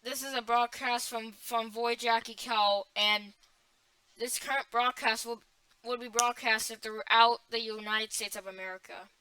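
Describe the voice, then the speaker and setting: A woman's voice: on the high side, fairly even intonation, measured pace, sharp tone, rough recording. A woman playing a flustered emergency alert announcer in an amateur scripted broadcast, stumbling and restarting phrases. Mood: flustered, reporting.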